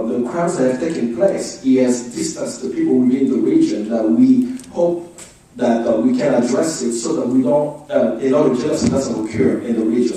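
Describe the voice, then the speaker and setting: A man giving a formal briefing as an advocacy speaker, going through his points one by one in a measured way. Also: medium pitch, warm tone. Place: indoor meeting room. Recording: echoey room, distant microphone.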